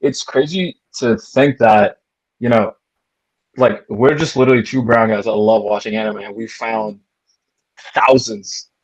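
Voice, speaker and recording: A man's voice: lowish voice, fairly even intonation, measured pace, no echo, highs cut off. A man co-hosting a casual podcast, rambling with lots of fillers and repeats. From Canada. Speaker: podcast co-host.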